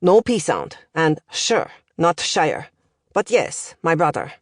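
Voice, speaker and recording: A woman's voice: low-pitched, sing-song, slow, no room sound, studio quality, full character voice. A woman voicing an older male professor, opinionated and instructive, in an audiobook. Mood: chatty, proud. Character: older male Romanian language professor, opinionated, smoking, encouraging.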